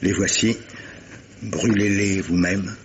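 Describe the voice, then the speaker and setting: An actor playing a grave gentleman captain in a radio mystery, speaking in a measured, insistent, formal way, in a 1950s French style. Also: low voice, steady tone, muffled recording, a little hiss or hum. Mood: solemn. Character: grave gentleman captain pressing a guilty woman with hypotheticals. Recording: old radio drama recording.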